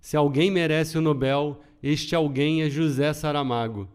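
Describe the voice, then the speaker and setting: A middle-aged man with a Brazilian accent, hosting a podcast in an earnest, measured reading style. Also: a little high, fairly even intonation, clear, resonant tone. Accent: Brazilian Portuguese. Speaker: podcast host. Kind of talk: scripted podcast narration.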